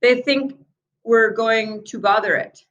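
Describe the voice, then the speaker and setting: A confident, observant young girl from a children's storybook, played by a woman reading aloud. She speaks earnestly, explaining what she has noticed, with pitch lifted above a normal adult voice. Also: takes it slowly, fairly bright tone, dull audio.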